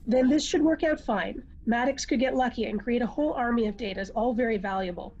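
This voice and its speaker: A woman reading the part of a calm, wise confidante in a table read, measured, unhurried and gently pointed. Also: on the high side, a little hiss or hum, natural voice. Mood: knowing. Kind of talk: amateur script table read. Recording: dull, muffled lo-fi microphone sound.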